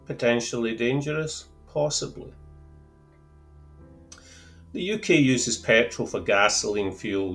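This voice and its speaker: A man with a Scottish accent explaining fuel terms to camera in a careful, deliberate way, with a lightly wry touch. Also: lowish voice, a bit bright. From Scotland. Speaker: outdoor gear channel host. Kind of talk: measured explanatory talk to camera.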